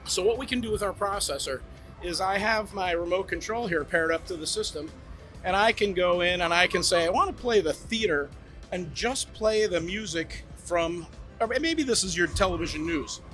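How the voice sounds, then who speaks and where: A man giving an eager sales pitch as a brand representative, demonstrating home theater gear in a trade show demo room. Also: normal speed, pushed and bright, faint music behind. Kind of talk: product demo talk.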